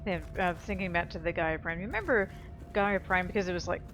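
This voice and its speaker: A woman's voice: on the low side, brisk, music in the background. A woman giving informal gameplay commentary, thinking aloud as she explores. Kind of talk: casual game commentary.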